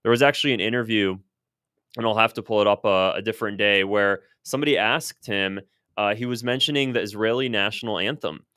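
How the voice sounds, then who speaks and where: A man's voice: on the low side, fairly quick, pushed and bright, smooth, no echo, clean studio sound. An American man giving unscripted, opinionated political commentary in an emphatic, insistent way, repeating phrases for stress. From United States.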